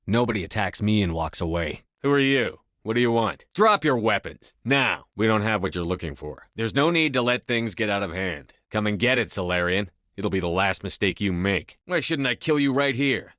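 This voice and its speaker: A man playing a tough, resolute military space commander in a video game, speaking in a terse, commanding, measured way. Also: on the low side, animated, a bit bright, dry recording, studio quality. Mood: hard, threatening. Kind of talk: scripted video game dialogue.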